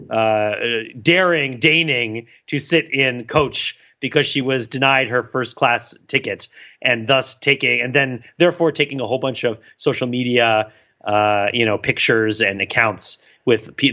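A man's voice: mid-range voice, bright, forceful tone. A man co-hosting a podcast, chatting casually and telling stories in a wordy, rambling, wry way. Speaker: podcast co-host. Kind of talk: casual podcast chat, storytelling. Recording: dull, lo-fi voice track.

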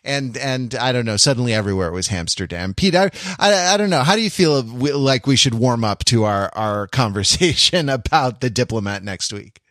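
A man's voice: mid-range voice, lively intonation, normal speed, hardly any pauses, fairly bright tone, no echo, clean studio sound. A man hosting a podcast in casual chat, talking in a wordy, discursive, wry way. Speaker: podcast host. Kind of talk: casual chat about TV shows.

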